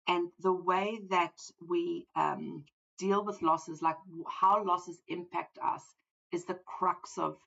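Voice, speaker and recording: A woman hosting a live stream about trading mindset, talking in a chatty, earnest way with a voice croaky from the flu. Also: fairly low pitch, fairly bright tone, lo-fi sound. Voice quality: gravelly. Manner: chatty, earnest, thinks aloud with fillers. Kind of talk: casual livestream talk.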